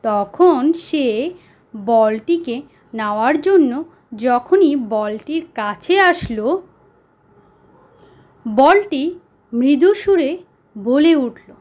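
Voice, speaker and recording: A woman narrating a children's story as a storyteller, deliberate and pausing for dramatic effect. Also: high-pitched, expressive, a little mellow.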